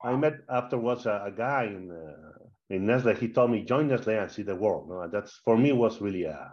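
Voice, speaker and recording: A man's voice: on the low side, moderate pace, highs cut off. Spanish-accented man telling stories. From Spain. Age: middle-aged. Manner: animated, with frequent fillers and repeated words. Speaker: guest. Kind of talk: casual interview storytelling.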